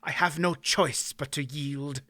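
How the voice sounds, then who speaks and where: A man's voice: on the high side, fairly even intonation, a little slow, pushed and bright, no echo, studio quality. A man voicing a defeated, injured baron who speaks strained through clenched teeth. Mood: pained. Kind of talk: audiobook character voice.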